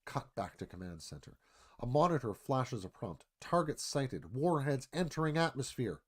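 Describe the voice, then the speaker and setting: Animated man narrating an action screenplay. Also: mid-range voice, moderate pace, husky. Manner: clipped, dramatic phrasing. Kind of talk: dramatic scripted narration.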